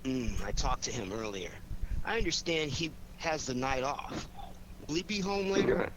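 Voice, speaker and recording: An actor playing a cocky, wisecracking amateur detective in a 1940s radio drama, with glib, slangy delivery. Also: male voice, mid-range voice, lively intonation, normal speed, natural voice. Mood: probing. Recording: noisy old 1940s radio recording.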